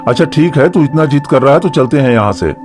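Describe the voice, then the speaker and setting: A man's voice: mid-range voice, animated, quick pace, darkish tone, natural voice. A man voicing a skeptical young village man with a blunt, teasing way of talking, over background music. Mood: steady.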